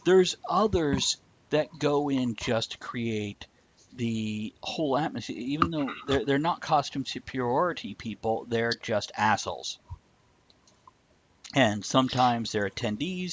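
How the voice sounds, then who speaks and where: A man's voice: medium pitch, expressive, moderate pace, a bit bright, lo-fi sound, slight background noise. A man hosting a live show in a casual, blunt, opinionated way, chatting back to viewers and swearing freely. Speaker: host of a live talk show. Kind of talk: casual chat, answering live viewer comments.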